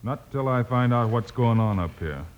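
A man's voice: medium pitch, animated, moderate pace, narrow, tinny audio, lightly acted. An actor playing a resolute western lawman hero, plainspoken, firm and dry, in an old-time radio drama. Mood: firm, cool. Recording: noisy old radio recording with clicks.

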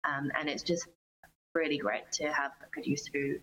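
A woman giving considered, measured answers in a conversational interview as a singer-songwriter guest. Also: low-pitched, steady tone, bright, forceful tone, a little hiss or hum, low-quality recording. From Australia.

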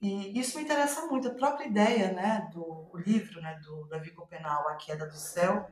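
A woman with a Brazilian Portuguese accent in a reflective interview, thinking aloud haltingly and trailing off. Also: lowish voice, echoey room. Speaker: playwright, podcast guest.

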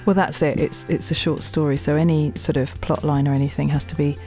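A woman's voice: low-pitched, little pitch movement, a little fast, dark tone, soft background music. A woman chatting informally in a casual interview as a voice actress.

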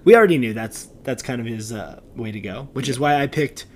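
A man's voice: mid-range voice, expressive, fairly quick, a little hiss or hum. A man talking casually as co-host of a TV recap podcast. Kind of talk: casual chat.

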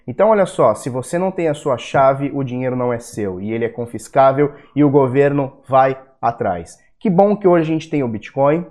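A man's voice: medium pitch, darkish tone. A young Brazilian man with a Brazilian Portuguese accent, hosting a casual market-analysis video, blunt and profane, with heated rants. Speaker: crypto video host.

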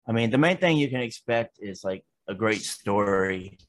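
A man's voice: on the low side, expressive, normal speed. A man being interviewed about his horror film, talking in a laid-back way with casual swearing and lots of "like" fillers. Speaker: guest, horror filmmaker.

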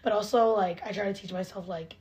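A woman's voice: mid-range voice, fairly even intonation, brisk, crowd noise. A nineteen-year-old woman in a casual chat, earnest and self-reflective, saying "like" all the time. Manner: chatty, heavy use of "like", earnest and self-reflective.

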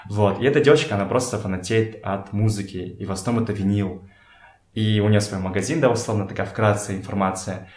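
A man with a fairly low voice chats as a guest in an echoey room at someone's home. His delivery is conversational and slangy, with repeated words as he builds his point. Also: fast talker, a little mellow. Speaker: podcast guest. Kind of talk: casual conversational storytelling.

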